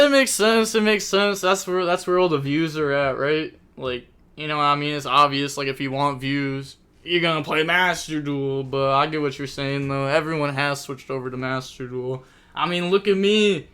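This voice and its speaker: Bright-voiced young male streamer. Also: fairly high pitch, moderate pace, clear, resonant tone. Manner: chatty, rambling, thinks aloud with many fillers. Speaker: streamer commentating a card game tournament. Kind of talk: casual live-stream commentary.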